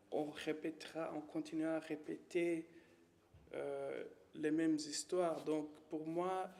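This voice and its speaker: A man from Rwanda, giving a halting, hesitant personal testimony full of pauses and fillers. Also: fairly high pitch, darkish tone. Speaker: witness.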